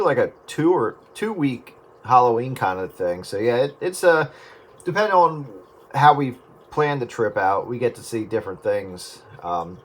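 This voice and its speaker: A man in casual radio chat, explaining things in a laid-back, meandering way. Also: lowish voice. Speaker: radio round-up participant.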